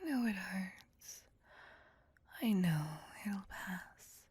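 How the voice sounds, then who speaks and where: A woman playing a seductive, possessive vampire lover in an intimate ASMR roleplay, breathy and whispered, with teasing menace. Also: medium pitch, darkish tone, exaggerated voice. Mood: soothing, possessive. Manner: slow, teasing, theatrical purring menace.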